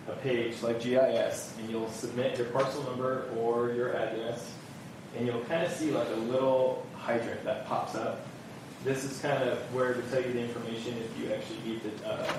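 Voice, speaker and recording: A man on permit staff walking an audience through building forms, in a casual manner that leans on "kind of". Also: mid-range voice. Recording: echoey room, heard from far off, background noise.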